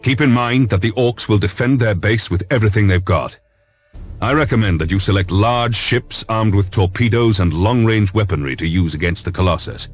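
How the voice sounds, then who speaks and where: A man plays a commanding space navy admiral from a video game. His voice is deep and husky. He briefs formally and sternly over soft music. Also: moderate pace, lightly acted. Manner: formal, authoritative military briefing. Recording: music underneath.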